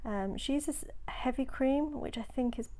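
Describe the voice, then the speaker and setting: Woman, nasal with a cold, mellow voice, chatty baking voice-over, soft whispered asides. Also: sits high, unhurried. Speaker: home baker.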